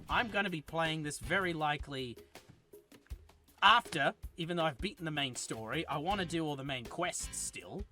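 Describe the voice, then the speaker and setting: A man talks through a game review in a loose, chatty way, circling back to fix his own words. His voice sits a little high and rings bright and forceful. Soft game music plays underneath. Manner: rambling and chatty, with frequent self-corrections. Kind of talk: casual game review commentary.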